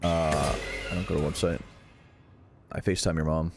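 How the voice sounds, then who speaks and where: A man's voice: extremely low pitch, fairly even intonation, moderate pace. A man in his thirties streaming a shooter game, joking with teammates and making terse callouts. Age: 30s. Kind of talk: casual gameplay commentary. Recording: gunfire and game noise behind. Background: shooter game sounds.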